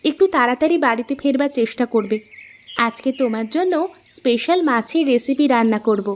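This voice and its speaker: A woman voicing a caring, practical wife in a cartoon, fond and gently chiding. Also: high-pitched, normal speed, natural voice. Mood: affectionate. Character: caring, practical wife who gently scolds her husband. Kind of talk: Bengali cartoon dialogue.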